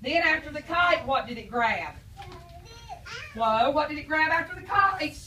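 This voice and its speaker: A woman's voice: sits high, animated, a little slow, bright, forceful tone, a little hiss or hum. A woman teacher, quizzing and praising a class of young children after reading aloud. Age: adult. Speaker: preschool teacher. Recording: echoey classroom from far off.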